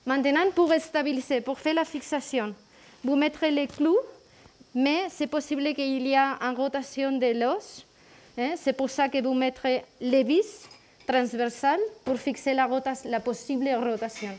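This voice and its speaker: A woman surgeon with a Spanish accent, a native Spanish speaker, speaking halting French in short, brisk phrases in an operating room. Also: sits high, fairly even intonation, a little mellow. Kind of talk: instructions during surgery.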